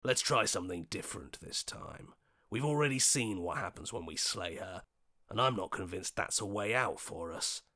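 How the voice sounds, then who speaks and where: A man voicing a level-headed, questioning hero in a video game, speaking earnestly in short deliberate lines. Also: on the low side, animated, sharp tone. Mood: wary, reasoning.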